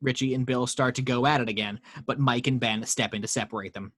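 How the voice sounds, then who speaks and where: A man's voice: medium pitch, steady tone, a bit bright. A man narrating the stage directions at a casual home table read. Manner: brisk reading of stage directions. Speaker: narrator reading action lines.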